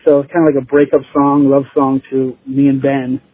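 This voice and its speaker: A man chatting casually as an interview guest, informal and rambling, with a husky voice. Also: mid-range voice, completely flat delivery, a little fast. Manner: informal, rambling, chuckling easily. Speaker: interview guest, band musician. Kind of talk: casual chat. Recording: tinny phone-like line.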